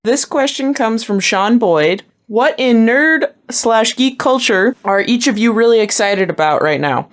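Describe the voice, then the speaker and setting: A woman's voice: fairly high pitch, expressive, unhurried, few pauses. A woman co-hosting a podcast, casually reading listener questions aloud.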